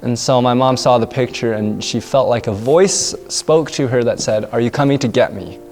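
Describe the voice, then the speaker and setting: A young man in his twenties, born in northern China, speaking softly and reflectively about his adoption. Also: medium pitch, normal speed, faint music behind. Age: 20s. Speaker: interviewee. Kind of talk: personal testimony.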